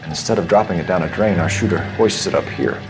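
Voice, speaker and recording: A man playing a low-voiced, self-assured young American cop. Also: fast, close to an everyday voice, low-quality recording. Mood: cool, observant. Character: keen, confident young male police patrolman in 1940s Los Angeles. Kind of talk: video game dialogue acting. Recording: music behind the voice.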